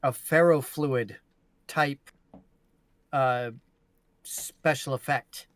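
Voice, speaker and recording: A man in casual conversation, recommending things with emphatic, halting delivery. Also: mid-range voice, fairly even intonation, dry recording. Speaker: podcast cast member. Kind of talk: casual chat, giving a recommendation.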